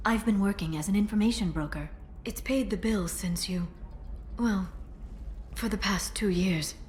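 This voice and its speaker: A woman voicing a composed alien information broker in a video game cutscene, speaking softly and in a measured way. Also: medium pitch, a little hiss or hum, lightly acted. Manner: soft-spoken, measured, quiet intensity.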